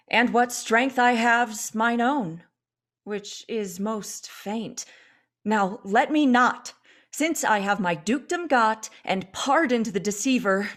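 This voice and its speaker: Slow, stately Prospero played by a woman. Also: fairly high pitch. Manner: measured, formal, theatrical. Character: Prospero, commanding, weary exiled duke and magician. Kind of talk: radio play, Shakespearean verse acting.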